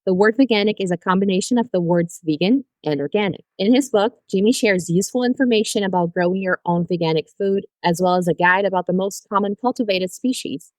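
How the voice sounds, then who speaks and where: A woman's voice: mid-range voice, a little fast, hardly any pauses, a little mellow, dry recording, poor audio. A woman from Brazil with a Brazilian Portuguese accent, hosting a podcast interview in a friendly, chatty way, laughing often. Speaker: podcast host.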